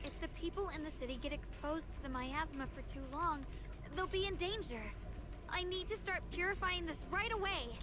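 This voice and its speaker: A woman's voice: very high voice, normal speed. A woman voicing an earnest, determined young sword-wielding heroine in an anime-style video game. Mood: reassuring, resolute. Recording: loud music behind the voice. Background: loud game music.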